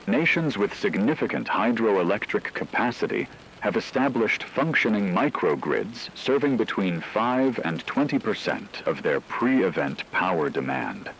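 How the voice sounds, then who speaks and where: Male actor as emergency radio newsreader, low-pitched, solemn, measured, formal scripted delivery, faint background noise. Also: creaky, fairly bright tone, full character voice, rough recording. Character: grave male newsreader of an emergency radio broadcast during a global catastrophe. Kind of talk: scripted dramatic news narration.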